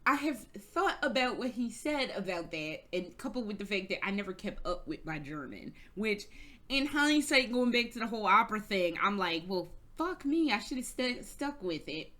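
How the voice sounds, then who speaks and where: A woman's voice: mid-range voice, very animated, normal speed, fairly bright tone. Casting: American woman vlogger, casual and rambling, swears freely, lots of "like"s, restarts sentences. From United States. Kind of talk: casual storytelling vlog. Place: indoors, travelling in Germany.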